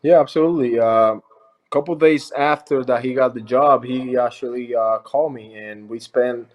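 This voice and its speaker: A young man from Rico, answering interview questions as a baseball pitcher in a halting, friendly way. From Puerto Rico. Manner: halting, many fillers and restarts, friendly.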